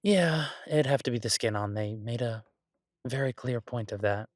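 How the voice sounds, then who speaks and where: A man playing an exasperated but affectionate restaurant chef in an intimate boyfriend audio roleplay, talking conversationally with halting, stammered restarts. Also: mid-range voice, no echo, studio quality, lightly acted. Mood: exasperated, musing. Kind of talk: intimate boyfriend roleplay, one-sided casual dialogue.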